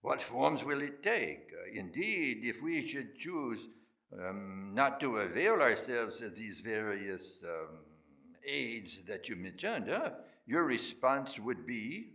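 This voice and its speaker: A man playing a foreign delegate in a radio drama, questioning in a halting, hesitant way full of hums. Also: medium pitch, big pitch swings, creaky, bright, forceful tone, heavily acted. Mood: hesitant, polite. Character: hesitant, probing male foreign delegate at an assembly. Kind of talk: radio theater acting. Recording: distant from the microphone.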